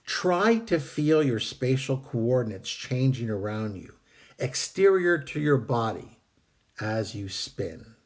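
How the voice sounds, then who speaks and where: A man hosting a video, calmly reading out and explaining a meditation technique with some hesitant fillers, while fighting a cold that is costing him his voice. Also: fairly high pitch. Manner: calm, measured, with hesitant fillers. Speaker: host.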